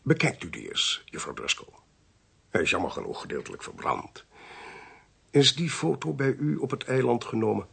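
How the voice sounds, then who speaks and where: An actor playing a drily witty, inquisitive gentleman investigator in a Dutch radio drama, with a theatrical, deliberate delivery. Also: mid-range voice, wildly swooping pitch, vocal fry, slightly rough, exaggerated voice. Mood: curious, wry.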